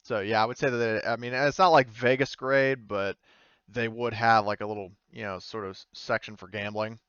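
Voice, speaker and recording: Man, tabletop game master, lowish pitch, hedging delivery, dry muffled recording. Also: fairly even intonation, normal speed, fairly bright tone, smooth, no room sound. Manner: tentative, hedging, thinking aloud. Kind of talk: improvised tabletop game narration. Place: indoors, online voice call.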